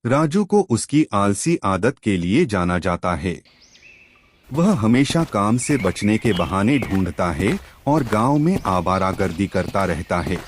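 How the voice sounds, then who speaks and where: A man's voice: fairly low pitch, big pitch swings, slow pace, a little hiss or hum. A man narrating a scripted moral story for children.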